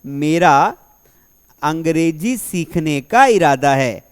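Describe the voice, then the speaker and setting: A young man teaching spoken English, asking the class questions and slowly repeating example sentences word by word. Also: on the high side, clean tone. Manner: energetic classroom delivery, slow dictation of example sentences; asks rhetorical questions and repeats answers. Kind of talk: spoken English lesson in Hindi.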